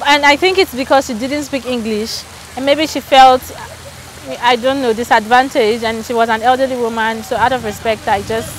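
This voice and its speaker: High-voiced Nigerian woman, chatty and candid. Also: normal speed, a little hiss or hum, rough recording. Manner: chatty, candid, animated storytelling. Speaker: interviewee. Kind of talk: casual outdoor interview.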